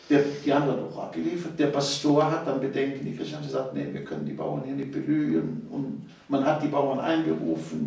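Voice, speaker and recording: A man's voice: medium pitch, creaky, a little mellow. Very reverberant, distant recording: male interview guest, seventies, emphatic conversational storyteller. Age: 70s. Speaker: interview guest, author of local history books. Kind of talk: conversational reminiscence. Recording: echoey room, distant microphone.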